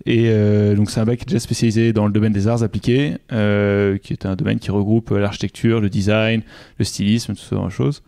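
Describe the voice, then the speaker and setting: A man's voice: fairly low pitch, level tone, a little fast, vocal fry, mellow, no room sound, studio quality. A young man from Normandy, a UX designer, answering interview questions in a casual, hesitant way with many fillers and restarts. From Normandy, France. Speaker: UX designer guest.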